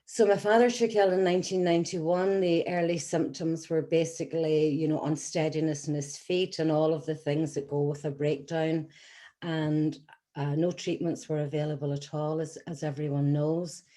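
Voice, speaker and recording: An Irish woman in her sixties, a patient advocate telling her personal story to an audience in an earnest, measured way with frequent ums. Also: low voice, level tone, mellow. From Scotland, then Ireland. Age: 60s. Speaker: patient advocate giving a talk.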